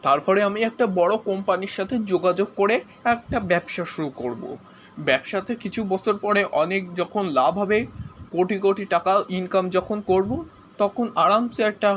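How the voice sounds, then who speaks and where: A man's voice: high voice, moderate pace, a bit bright, a little hiss or hum. A man playing a goofy, boastful young male character in a comedy cartoon dub. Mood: dreamy.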